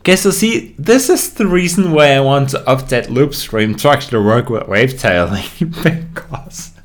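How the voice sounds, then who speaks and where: A man's voice: mid-range voice, animated, moderate pace. A man from Norway's west coast, chatting casually on a live stream, swearing a lot and losing his thread often. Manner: profane, easily sidetracked. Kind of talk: casual rambling stream chat.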